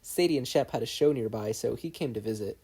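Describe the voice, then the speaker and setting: A story narrator voicing a mild, evasive teenage boy in a casual, slightly halting way. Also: medium pitch, mellow, slightly rough.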